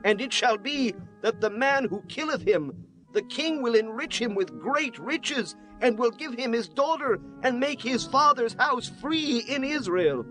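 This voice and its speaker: A man playing biblical townsfolk and an old father, declaiming in a slow, drawn-out way. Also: very high voice, lively intonation, fairly bright tone, soft background music, exaggerated voice, low-quality recording. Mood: earnest.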